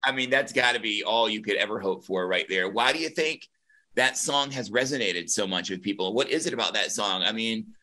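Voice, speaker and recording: A man's voice: on the low side, expressive, brisk, sharp tone, low-quality recording. A man hosting an interview, asking questions enthusiastically and effusively. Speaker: host. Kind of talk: casual interview chat.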